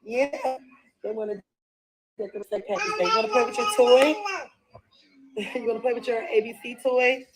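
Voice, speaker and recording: A woman with a slightly higher voice and a stuffy nose, talking in a home vlog. She chats casually and partly speaks to a small child. The clip is played back inside a reaction video. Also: normal speed, pauses often, very noisy.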